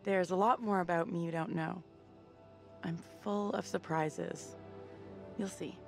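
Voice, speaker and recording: A tough, sardonic young woman in a horror video game. Her voice is creaky, her talk dry, slangy and defiant, with faint music underneath. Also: fairly low pitch, steady tone, measured pace. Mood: wry, weary. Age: young adult. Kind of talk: video game cutscene drama.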